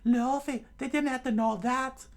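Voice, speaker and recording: A man voicing a sharp-tongued, exasperated young woman pirate in a scolding falsetto for a read-aloud story. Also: steady tone, moderate pace. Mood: angry, scolding.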